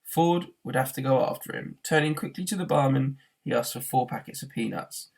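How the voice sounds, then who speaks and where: A man reading a novel aloud as an expressive narrator, in the way of a teacher reading to a class. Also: medium pitch, a little fast, creaky.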